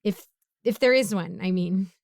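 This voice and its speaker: A woman's voice: mid-range voice, normal speed, creaky, a little mellow, no echo, studio quality, close to an everyday voice. A woman playing a broke, bumbling, chatty supervillain neighbor in a casual audio roleplay, rambling awkwardly as she pleads and justifies herself. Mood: nervous reassurance.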